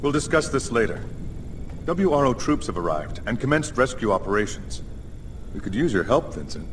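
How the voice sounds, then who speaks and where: An actor playing an earnest, urbane commissioner in a video game, speaking in an expressive, courteous way. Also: male voice, medium pitch, normal speed, slight background noise, close to an everyday voice. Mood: businesslike. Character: earnest, urbane male commissioner leading a relief force.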